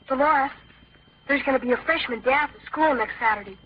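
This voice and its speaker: A man's voice: extremely high pitch, fairly quick, faint music behind. A young male actor playing an earnest, bashful, hesitant thirteen-year-old boy in an old-time radio drama. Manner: hesitant, eager. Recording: dull old radio recording.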